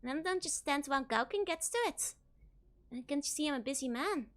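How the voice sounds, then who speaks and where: Woman doing a high, hoarse grumbling innkeeper. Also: a little fast, lightly acted. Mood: bargaining. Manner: complaining, gossipy, gruff. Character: grumbling, put-upon male innkeeper.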